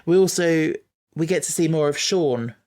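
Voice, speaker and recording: A man's voice: on the high side, moderate pace, darkish tone. A man hosting a casual fan podcast, talking enthusiastically and tentatively, often checking in with little tag questions. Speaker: podcast host.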